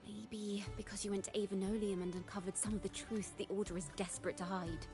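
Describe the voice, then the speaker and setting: A woman's voice: medium pitch, little pitch movement, fairly quick, close to an everyday voice. An actress playing a confident, resolute female ally in a video game, coolly analytical. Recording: quiet game audio under clear music. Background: music.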